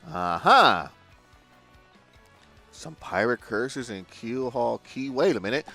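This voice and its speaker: A man's voice: mid-range voice, big pitch swings, takes it slowly, bright, forceful tone. A man casually commenting while playing a game, laid-back with crude jokes and mumbled asides. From Puerto Rico. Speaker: gaming video host. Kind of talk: casual let's-play commentary. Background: game music.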